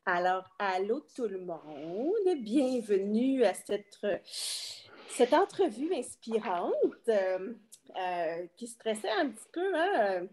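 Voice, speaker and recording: A woman's voice: mid-range voice, big pitch swings, slow, darkish tone. A woman with a Quebec French accent hosting a casual online interview in an informal, chatty way, often feeling for her words. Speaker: interviewer and host.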